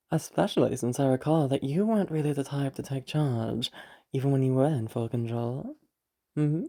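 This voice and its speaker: Low, husky, sly villainess, acted by a woman. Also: heavily acted. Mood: hesitant, restrained. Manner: teasing, slow, hesitant pauses with soft hums and sighs. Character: sly, teasing villainous woman, a beast, menacing yet tender. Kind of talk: romantic audio roleplay, intimate monologue to a listener.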